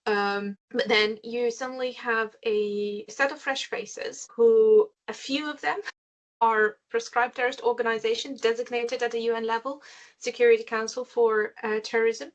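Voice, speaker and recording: Woman giving a measured webinar briefing. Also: fairly high pitch, steady tone, lo-fi sound. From Syria. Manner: measured, explanatory, frequent "um" pauses. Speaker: guest speaker. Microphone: video call.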